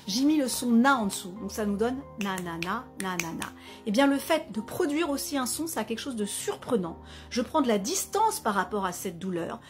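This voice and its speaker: A woman wellness author talks to camera, explaining her idea in a lively way with examples and asides. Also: on the high side, normal speed, soft background music.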